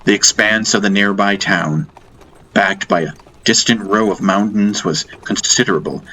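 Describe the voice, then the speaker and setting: A man narrating a first-person fantasy audiobook in deliberate, dramatic short phrases. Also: fairly low pitch, sharp tone, a little hiss or hum, low-quality recording. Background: music.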